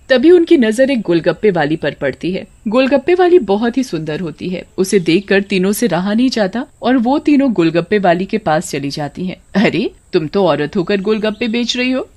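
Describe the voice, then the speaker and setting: A woman's voice: medium pitch, big pitch swings, moderate pace, hardly any pauses, darkish tone. A woman storyteller reading scripted narration for a story.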